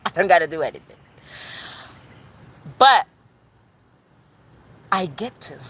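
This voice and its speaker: A woman tarot reader giving a casual spiritual reading, talking loosely and straight to the listener. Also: low voice.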